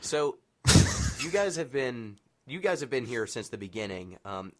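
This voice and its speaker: A man's voice: medium pitch, very animated, measured pace, fairly bright tone. A man hosting a casual chat podcast, opinionated and bantering. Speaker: podcast host.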